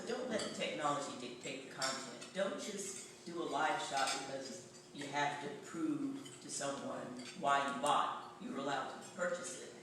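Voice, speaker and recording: Middle-aged Tennessee man, higher voice, wry lecturer, heard from afar in a noisy, echoing hall. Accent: Tennessee Southern. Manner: anecdotal, wry, conversational. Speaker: journalism professor giving a public talk. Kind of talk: public lecture. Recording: echoey hall, voice far from mic, background noise.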